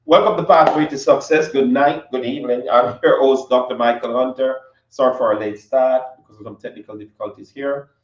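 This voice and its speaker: A man hosts an interview show, speaking in a halting way with many restarts and fillers. His voice sits at a middle pitch and sounds dull and roomy, as if picked up from some distance away from the mic. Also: steady tone. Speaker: show host. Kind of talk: interview hosting. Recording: dull, roomy audio from afar.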